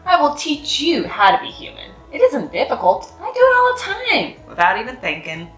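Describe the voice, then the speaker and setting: A woman's voice: high voice, huge pitch swings, moderate pace, fairly bright tone, full character voice. Woman voicing a bossy confident little girl. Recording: echoey room with faint music.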